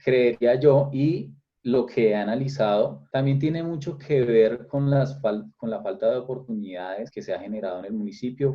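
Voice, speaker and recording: Man, young adult, Colombian accent, low mellow voice, reflective, restarts phrases, dull lo-fi sound. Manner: thoughtful, restarts phrases, rises when stressing points. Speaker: interviewee. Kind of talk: reflective interview answers. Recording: dull lo-fi recording.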